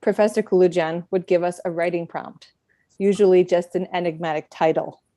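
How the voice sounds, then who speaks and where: A middle-aged woman reads a prepared personal talk at a podium, her voice mellow and warm in tone. The sound is dry and close, with little room behind it. She speaks in a measured, reflective way, with touches of gentle self-deprecation. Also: lowish voice, steady tone, dry recording. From United States. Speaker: speaker at a book event.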